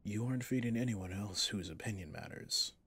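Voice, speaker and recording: A man playing a possessive villain boss in an intimate ASMR roleplay, speaking formally and commandingly yet indulgently, in a husky, breathy voice. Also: on the low side, brisk, a bit bright, no echo. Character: smooth possessive male villain boss, doting on favorite servant.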